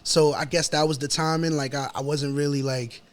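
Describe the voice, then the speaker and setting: A man with a New York accent chatting casually as a podcast guest, telling personal stories in a slangy, halting, repetitive way. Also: a little high, fairly even intonation. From New York City. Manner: slangy, halting, repeats himself.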